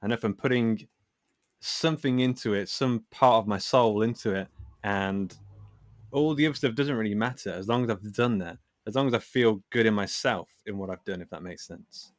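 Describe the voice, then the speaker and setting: A man speaking as a podcast guest in a casual conversation, reflective and candid, often halting as he works out his thoughts. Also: fairly low pitch, no room sound, clean studio sound.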